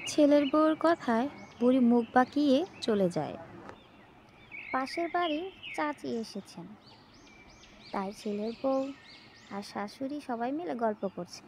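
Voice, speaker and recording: A woman's voice: high-pitched, expressive, unhurried, pauses often, a little mellow, slight background noise. A woman giving scripted narration of a family folk tale. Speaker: story narrator.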